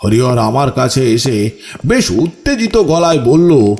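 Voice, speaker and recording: A man's voice: mid-range voice, big pitch swings, darkish tone, slight background noise. A man acting as the haunted narrator of a ghost story, telling his own ordeal in dramatic, suspenseful first person. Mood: eerie suspense. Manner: slow, suspenseful, with pleading stammering outbursts in dialogue.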